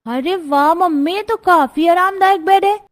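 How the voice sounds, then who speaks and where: A woman voicing an excited, admiring small child in a Hindi cartoon story. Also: very high voice, normal speed. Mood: delighted.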